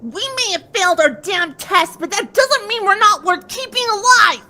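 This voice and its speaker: Woman voicing a high-pitched young pegasus filly. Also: expressive, moderate pace, pushed and bright, heavily acted. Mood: angry, defiant. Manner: earnest, emotional, determined. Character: defiant, tearful young female pegasus pony. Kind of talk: dramatised audio story reading.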